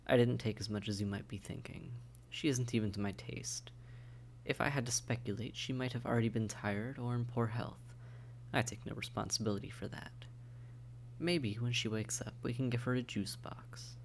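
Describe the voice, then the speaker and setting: A man's voice: medium pitch, steady tone, moderate pace, slight background noise, natural voice. A man playing a suave, condescending vampire in an intimate audio roleplay, speaking to the listener in a polite, wry, teasing and articulate way. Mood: wry, conversational.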